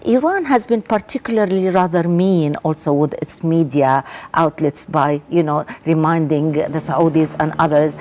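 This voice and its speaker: A woman's voice: low voice, a little mellow. A woman from Lebanon with a Lebanese accent, giving analysis as a guest in a live news interview, emphatic and often repeating her words. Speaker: journalist, guest commentator.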